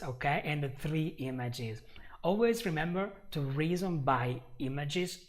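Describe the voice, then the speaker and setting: Man, Italian accent, English teacher, slow delivery, gravelly voice, patient and repetitive. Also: medium pitch. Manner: patient, repeats and restarts while explaining. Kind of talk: live-stream English lesson.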